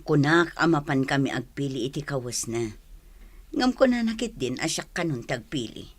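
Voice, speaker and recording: Woman acting fretful village mother, Filipino accent, middle-aged, low husky voice, radio drama. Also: expressive, normal speed.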